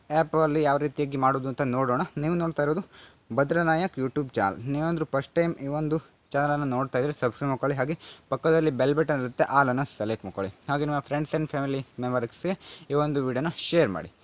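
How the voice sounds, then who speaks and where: Guy with a slightly high voice doing a casual phone app walkthrough, step by step, repeats himself a bit. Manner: repetitive, instructional, addressing viewers as friends. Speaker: tech tutorial host.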